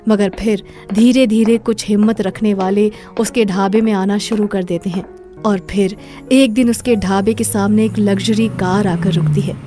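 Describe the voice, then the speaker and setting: A woman's voice: medium pitch, warm tone. A woman narrator reading a scripted moral story.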